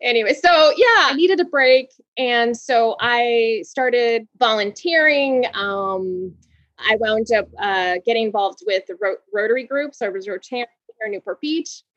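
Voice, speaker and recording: A woman in her forties from Hawaii, a guest telling her story in an interview, bubbly and quick to laugh, restarting words. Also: a little high, fairly bright tone, no echo, muffled recording.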